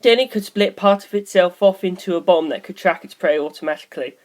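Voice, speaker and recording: A woman's voice: on the low side, a little fast, darkish tone. A woman reading scripted story narration as an audiobook narrator.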